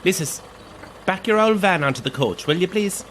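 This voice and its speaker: A man's voice: sits high, animated, normal speed, a bit bright. An actor playing a brusque, cheeky coach man chivvying passengers in an audio drama. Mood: impatient, teasing. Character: brusque, cheeky male coach driver hurrying passengers aboard. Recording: faint idling engine noise.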